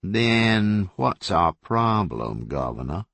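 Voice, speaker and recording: A man's voice: low voice, sing-song, takes it slowly, vocal fry, fairly bright tone, no echo, exaggerated voice. A man voicing a smug, patronizing county sheriff who talks in a lazy Southern drawl.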